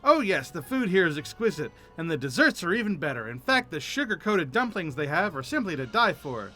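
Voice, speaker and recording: An eager young carpenter apprentice from a video game, played by a man, effusive and theatrical. The voice is high and bright, swinging freely in pitch, with music underneath. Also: big pitch swings, brisk. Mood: delighted. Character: eager, chatty young carpenter apprentice who loves food. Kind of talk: game dialogue read aloud.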